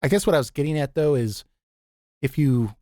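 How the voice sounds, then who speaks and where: Deep-voiced man, dry close sound. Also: brisk, mellow, no room sound. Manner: easygoing, laughs often, restarts sentences. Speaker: podcast host. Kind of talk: casual group chat.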